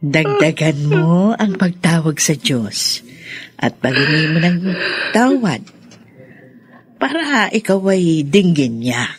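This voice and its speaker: An actress playing a consoling, pious female confidante in a Filipino radio drama, with a husky voice. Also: deep voice, very animated, slow pace, long pauses, exaggerated voice. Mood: consoling, earnest. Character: consoling, pious woman confidante urging prayer.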